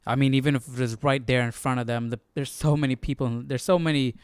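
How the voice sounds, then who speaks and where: A man's voice: medium pitch, steady tone, quick pace, faint music behind. A man hosting a Bible study, explaining casually and posing questions as he goes. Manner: explanatory, asks himself questions. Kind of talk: casual live study commentary.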